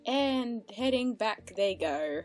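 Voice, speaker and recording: A woman's voice: a little high, expressive, slow, soft background music. A woman giving casual, amused, musing reaction commentary over a cartoon.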